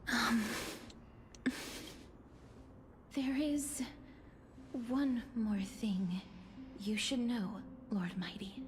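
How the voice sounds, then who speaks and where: A woman voicing a gentle, wistful young maiden in a video game, breathy and hushed, with tender, formal delivery. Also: fairly high pitch, extremely slow, a little hiss or hum. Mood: hesitant, solemn. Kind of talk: dramatic video game voice acting.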